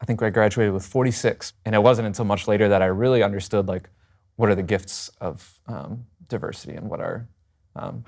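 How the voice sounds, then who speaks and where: Man, from Michigan, deep gravelly voice, thoughtful, hesitant pauses. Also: darkish tone. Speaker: discussion panelist. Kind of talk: candid personal sharing.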